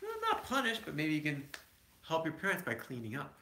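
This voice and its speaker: A man's voice: on the high side, wildly swooping pitch, brisk, creaky, pushed and bright, slight background noise. A man reading a picture book aloud to his children, with conversational asides. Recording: echoey room.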